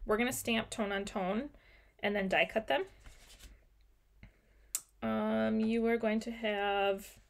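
Slow-talking woman with a gravelly voice. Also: a little high, steady tone. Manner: chatty, talks viewers through each step. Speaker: card-making class teacher. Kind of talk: live crafting class demonstration. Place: indoor craft desk. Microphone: overhead camera on desk, mic off screen.

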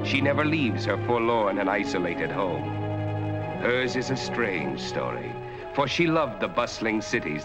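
Brooding night-walking narrator, slow and ominous, lively low voice, music under it, old film sound. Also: male voice. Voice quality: slow, ominous, measured delivery. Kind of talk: 1940s film noir narration.

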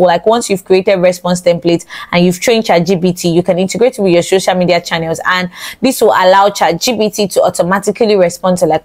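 A young woman giving a casual social media tutorial, chatty and repetitive, stuttering and restarting her sentences, speaking close into a studio mic. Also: on the low side. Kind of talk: casual talking-head tutorial. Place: small indoor studio with colored lights. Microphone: large studio mic close in front.

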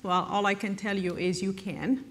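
A woman's voice: mid-range voice, little pitch movement, normal speed. A middle-aged woman from Morocco addresses a hall from a conference panel. She talks in an earnest, encouraging way, circling back and restarting her sentences. Her voice carries a long reverb from the large room. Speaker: panelist, biotech executive.

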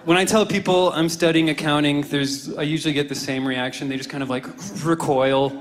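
A man's voice: on the high side, fairly even intonation, brisk, roomy sound. A man in his twenties performing a self-deprecating stand-up set on a club stage with a handheld mic, audience laughter between lines. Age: 20s. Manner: dry, self-deprecating, with lots of "you know" and "I don't know". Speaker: stand-up comedian. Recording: live club recording with audible crowd noise.

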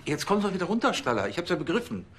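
An actor playing a self-important police chief, bossy yet hesitant and fussy. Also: male voice, high voice, very animated, racing, background noise. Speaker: actor in TV crime comedy.

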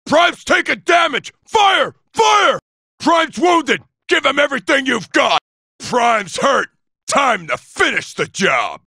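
A cocky, menacing robot trooper barks taunts in a video game. The voice is forceful and sharp with a heavy gravel to it. The delivery swings widely in pitch and stays aggressive and shouted. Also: male voice, big pitch swings, slow pace, no echo, clean studio sound, full character voice. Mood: urgent, aggressive. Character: cocky, aggressive male robot soldier, a taunting rocket sniper.

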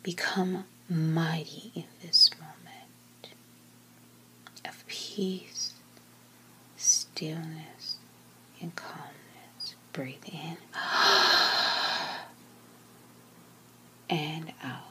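Very slow, breathy woman guiding meditation. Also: deep voice, poor audio. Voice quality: breathy, often near-whispered. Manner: calm, gentle, short phrases with long pauses. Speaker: guided meditation narrator. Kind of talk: slow guided meditation, soothing scripted narration.

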